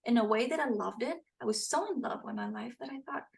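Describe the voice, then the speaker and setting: A woman's voice: fairly high pitch, fast, vocal fry. A woman from Costa Rica, a podcast guest and author, telling her story in a casual interview with frequent laughs. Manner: earnest storytelling, laughs often.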